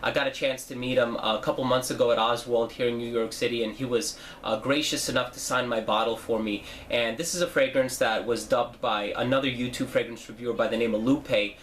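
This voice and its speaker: A man's voice: mid-range voice, fairly even intonation, a little fast, hardly any pauses, a bit bright, slight background noise. A young man with a stuffy nose from a cold, hosting a casual fragrance review channel and talking in a chatty way with many fillers. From New Jersey. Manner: chatty, with frequent fillers and self-corrections.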